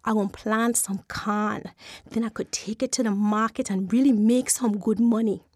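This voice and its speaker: A woman's voice: medium pitch, fairly even intonation, moderate pace, darkish tone, no echo, clean studio sound, pitched below the performer's own voice, lightly acted. A woman voicing a stingy, greedy island man, scheming in dialect.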